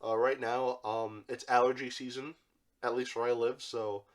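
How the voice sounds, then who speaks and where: A man's voice: medium pitch, flat delivery, moderate pace. A young man with a congested, stuffy voice from allergies, casually reviewing an action figure and rambling with lots of fillers. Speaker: toy reviewer, channel host.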